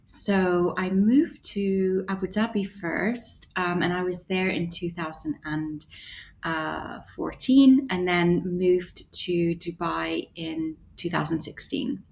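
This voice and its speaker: Low-voiced woman musing with many pauses. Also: vocal fry, a little mellow. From Bahrain. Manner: thoughtful, hesitant with many fillers and restarts. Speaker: interior designer and studio founder, guest. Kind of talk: casual interview conversation.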